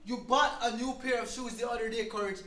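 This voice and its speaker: A man's voice: extremely high pitch, steady tone, fairly quick, sharp tone. A man acting an angry, domineering, abusive husband with a Belizean accent in a dramatization, confrontational and demanding. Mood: angry, accusing. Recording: noisy, echoey room with clicks.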